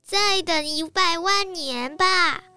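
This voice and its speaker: A female storyteller voicing an excitable, exasperated little squirrel in a children's storybook, with emphatic, drawn-out denials. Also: high voice, soft background music, full character voice.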